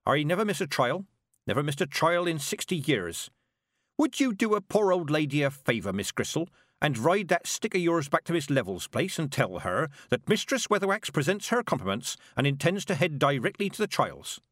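A man's voice: on the high side, big pitch swings, normal speed, a bit bright, no echo, pitched above the performer's own voice. A man voicing a stern, dry old woman witch who speaks in clipped, commanding phrases.

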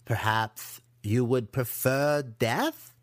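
A man voicing a sly, cruel young male dark elf apprentice in an audiobook, taunting as he schemes. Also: medium pitch, fairly even intonation, drawn-out words, no room sound, clean studio sound. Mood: teasing threat. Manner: taunting, matter-of-fact scheming. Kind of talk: audiobook character acting.

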